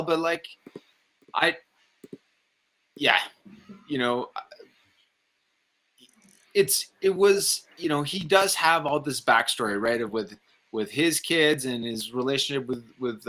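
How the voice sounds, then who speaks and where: A man's voice: medium pitch, bright, forceful tone. A man in a casual film discussion, speaking tentatively and circling around his point. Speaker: co-host of a film review show.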